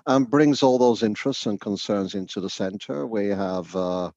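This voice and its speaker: A man's voice: on the low side, moderate pace, darkish tone, dry recording, dull audio. A man from the north of England with a Northern English accent, answering interview questions thoughtfully with frequent fillers and restarts. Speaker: education researcher.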